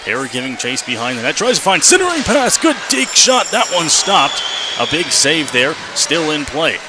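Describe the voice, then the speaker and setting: A man's voice: fairly high pitch, normal speed. A man doing live radio play-by-play of an ice hockey game in clipped, running phrases, as the announcer. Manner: clipped running phrases, rising to excited calls on shots. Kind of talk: live radio sports commentary. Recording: noisy live arena radio feed.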